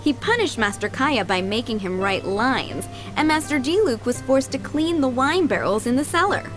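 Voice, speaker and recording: A kindly, motherly maid of middle age from a video game. Her voice sits fairly high and moves with lively melody. She chats politely and dotingly over soft background music. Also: moderate pace. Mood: fondly reminiscing. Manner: polite, doting, gently chatty. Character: kindly motherly head maid, fondly remembering boys she raised. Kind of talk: video game character acting.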